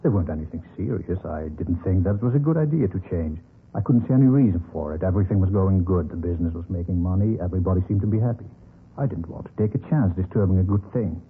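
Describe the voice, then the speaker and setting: Gentle, stubborn old businessman, low-voiced and hesitant, for a vintage radio crime drama on a tinny recording. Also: animated, fast, breathy edge, exaggerated voice. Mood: wistful, resigned. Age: older. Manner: hesitant, mild, repeats himself.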